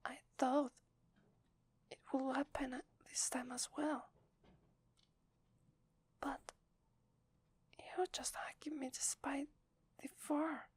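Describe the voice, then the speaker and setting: A woman's voice: high-pitched, little pitch movement, a little mellow. A woman with an Italian accent voicing a sweet, apologetic werewolf wife in a hesitant, tender, whispered ASMR roleplay. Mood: nervous, uneasy. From Italy. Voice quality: breathy, whispery. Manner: hesitant, tender, slow with long pauses.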